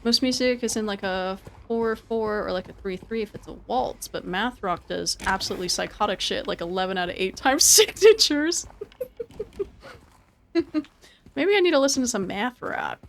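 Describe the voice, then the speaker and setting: A woman's voice: medium pitch, animated, moderate pace, gravelly, slight background noise. A woman giving casual live game commentary and chatting with viewers, informal and prone to repeating her words and phrases. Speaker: game streamer.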